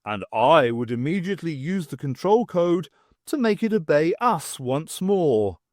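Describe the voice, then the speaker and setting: A haughty alien commander, acted by a male audiobook reader, issues cold, formal orders. The delivery is imperious and expressive, with a dry sound. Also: on the high side, a little slow, no echo. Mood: coldly confident.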